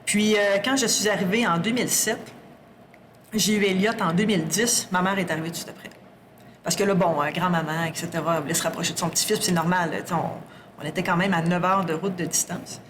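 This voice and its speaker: A woman's voice: lowish voice, fairly even intonation, quick pace, background noise. A woman with a Québécois French accent, a guest talking candidly and at length in a casual podcast conversation, often restarting her sentences. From Quebec. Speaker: guest, sustainability executive.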